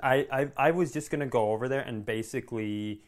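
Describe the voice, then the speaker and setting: A man's voice: mid-range voice, a little fast. Guy on a comedy podcast ranting through a story, lots of cursing, does the back-and-forth dialogue, regular mid voice. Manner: animated, profane, acts out quoted dialogue.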